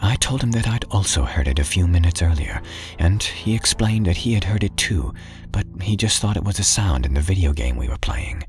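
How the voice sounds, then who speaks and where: A man narrating a first-person horror story as an audiobook reader, often dropping into a hushed whisper. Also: low voice, lively intonation, a little fast. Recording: faint background music.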